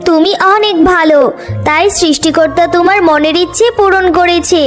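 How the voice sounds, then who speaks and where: A woman voicing a kindly, magical flower queen with a gentle, reassuring manner in a fairy-tale cartoon. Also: very high-pitched, moderate pace. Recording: music under the voice.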